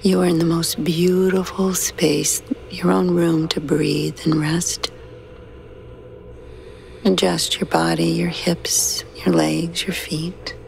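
A woman guiding a sleep meditation in a slow, soothing, hushed manner with long pauses. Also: on the low side, flat delivery, vocal fry, slight background noise, low-quality recording. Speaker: meditation guide. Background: soft background music.

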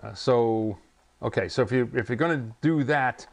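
A man's voice: medium pitch, normal speed. A man in his sixties, a ham radio channel host, chatting casually and rambling a little as he unboxes a radio in his home radio room on a lapel mic. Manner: chatty, rambling, chuckles often. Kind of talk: casual product unboxing vlog.

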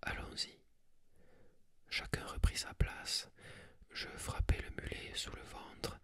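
A reader whispering a literary story in a measured, deliberate way, in an ASMR style. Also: a bit bright, dry recording, clean studio sound.

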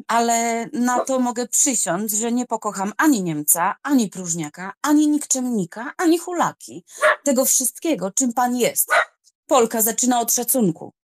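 A woman's voice: on the high side, expressive, moderate pace, darkish tone, natural voice. A woman acting a proud, scornful young noblewoman in an audiobook drama, speaking in a cold, formal, haughty manner. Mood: scornful, resolute.

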